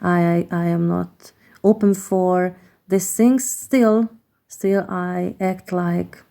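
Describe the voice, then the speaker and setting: A woman with a Hungarian accent, a native Hungarian speaker, gives a slow, reflective tarot card reading, pausing often and searching for words. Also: lowish voice, fairly even intonation, vocal fry, dark tone. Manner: hesitant, searching for words with many pauses.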